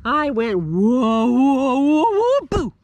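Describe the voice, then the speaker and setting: Woman doing a squeaky boastful little ghost. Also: big pitch swings, slow pace, a little mellow, no room sound, heavily acted. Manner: squealing, sing-song boasting.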